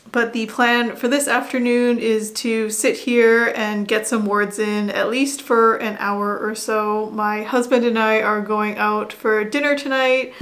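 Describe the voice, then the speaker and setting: A woman's voice: a little high, a bit bright. A woman vlogger talking casually to camera, musing and thinking aloud about her writing. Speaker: vlogger and writer. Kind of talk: casual vlog chat to camera.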